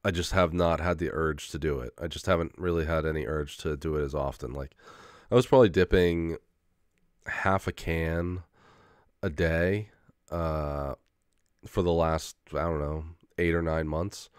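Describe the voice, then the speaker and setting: A man's voice: a bass voice, fairly even intonation, unhurried, clean tone, no echo, studio quality. A young man in a casual rambling monologue, full of fillers, restarts and swearing, getting over a head cold with an occasional cough. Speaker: vlogger.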